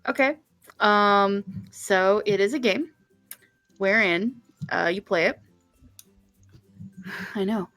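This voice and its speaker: A woman's voice: mid-range voice, slow, long pauses, a bit bright, faint music behind. A woman co-hosting a casual live stream, rambling and playful, with some swearing. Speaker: stream co-host and artist.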